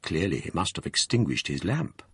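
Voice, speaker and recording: A man giving grave, measured narration of a period ghost story for radio drama. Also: low voice, sing-song, slightly rough, no echo, heavily acted.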